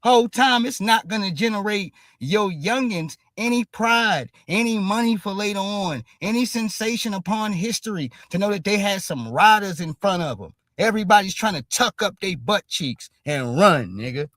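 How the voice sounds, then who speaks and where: A man's voice: high-pitched, animated, moderate pace, hardly any pauses, a bit bright, dry recording, studio quality. A man hosting a stream, ranting in emphatic commentary and repeating "all right?" after nearly every phrase. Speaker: streamer host.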